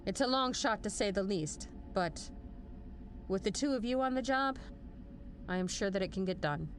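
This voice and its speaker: A woman's voice: mid-range voice, lively intonation, normal speed, slight background noise, close to an everyday voice. A woman playing a stern military general in an audio drama, formal and authoritative with a dry, wry edge. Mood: firm. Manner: formal, authoritative briefing delivery. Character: stern, commanding female military general, measured and dryly wry. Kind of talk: scripted audio drama acting.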